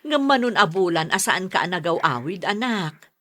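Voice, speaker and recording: A woman's voice: on the low side, lively intonation, normal speed, a bit bright, no room sound, studio quality. A woman with a Filipino Ilocano accent playing a patient, long-suffering middle-aged mother in a radio serial, pleading and soothing. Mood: tender. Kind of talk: radio serial drama acting.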